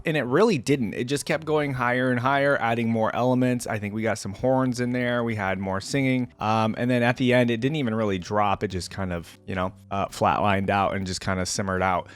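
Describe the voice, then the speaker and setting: A man's voice: medium pitch, fairly bright tone, no echo. A man hosting a reaction video, talking in a casual, unscripted, rambling conversational way. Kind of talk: casual, unscripted reaction commentary to camera or screen.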